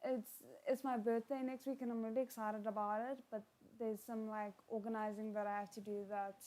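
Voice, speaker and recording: A woman's voice: fairly high pitch, little pitch movement, brisk, darkish tone. Woman casually chatting through a live watchalong. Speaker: watchalong reaction host. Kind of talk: casual live reaction chat with viewers.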